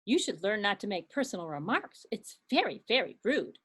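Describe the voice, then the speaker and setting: A woman's voice: medium pitch, very animated, moderate pace, a bit bright, slightly rough. A woman voicing a polite, prim little girl who is easily offended, in a library puppet show.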